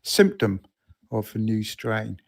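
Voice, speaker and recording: Hoarse man in casual chat. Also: on the low side, very animated, takes it slowly. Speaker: podcast co-host.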